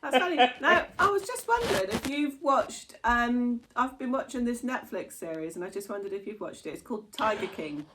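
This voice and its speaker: A woman's voice: on the high side, expressive, normal speed, hardly any pauses, a bit bright, a little hiss or hum. A woman making casual conversation on a call, with an understated, teasing manner. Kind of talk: casual chat.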